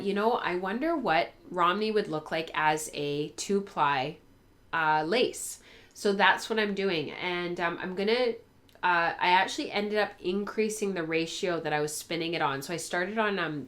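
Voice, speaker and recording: A woman's voice: on the low side, fairly bright tone. A woman hosting a craft vlog, chatting casually and thinking aloud in a rambling, informal way, her voice a little tired from a cold. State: getting over a cold, voice tiring. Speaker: host of a spinning and fibre craft show. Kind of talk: casual chat to viewers.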